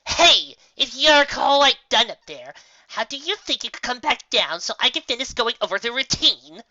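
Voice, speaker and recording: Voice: mid-range voice, sing-song, moderate pace, bright, forceful tone, no room sound, highs cut off, pitched above the performer's own voice. A narrator voicing a showy, self-assured female pony stage performer in a cartoon story, with an imperious, theatrical manner.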